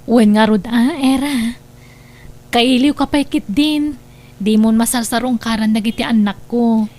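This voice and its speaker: High-voiced worried Filipino wife played by a woman. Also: fairly even intonation, a little slow, a little mellow, lightly acted. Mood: gentle, welcoming. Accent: Filipino, Ilocano. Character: worried, suspicious wife and mother in family drama. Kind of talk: Ilocano radio-style drama acting.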